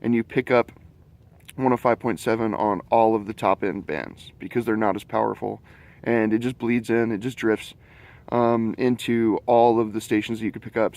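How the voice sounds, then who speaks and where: A man's voice: fairly low pitch, darkish tone. A man giving a casual, chatty product review, explaining features informally in rambling detail. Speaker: gadget reviewer.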